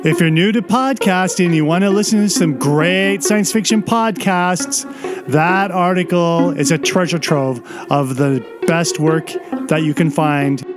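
A man's voice: a little high, unhurried, music in the background. A man hosting a podcast, presenting to listeners and putting questions to a guest. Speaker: podcast host.

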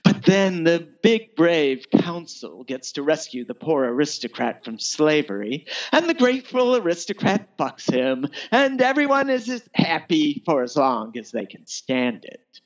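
A man's voice: on the high side, big pitch swings, a little slow, dull audio. A man reading his novel as dramatic first-person audiobook narration, theatrical and wry. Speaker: author reading own novel.

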